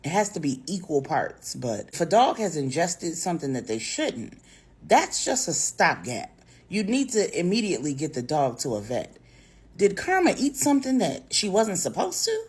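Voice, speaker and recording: Brisk, impatient professional woman, voiced by a storyteller. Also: lowish voice, very animated, darkish tone, husky, full character voice. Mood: matter-of-fact.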